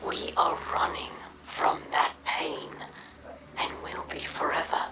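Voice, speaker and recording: A woman playing a bitter, battle-worn alien pirate captain in a video game, breathy, rasping and deliberate. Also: low voice, flat delivery, in a whisper. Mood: bitter, grave. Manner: slow, deliberate, formal. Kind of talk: video game character dialogue. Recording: echoey, with music behind.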